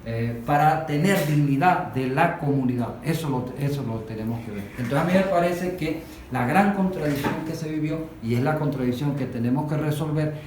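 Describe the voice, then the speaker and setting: A Venezuelan man speaking unscripted in a discussion, explaining haltingly and repeating phrases for emphasis. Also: fairly high pitch. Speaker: industrial worker giving testimony. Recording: very echoey room, distant mic, faint noise.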